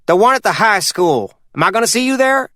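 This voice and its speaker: A man's voice: high-pitched, expressive, a little fast, sharp tone, no room sound, clean studio sound, voice pushed up. A man voicing a shrewd, bossy older uncle from who orders people around. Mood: commanding. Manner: commanding, scolding.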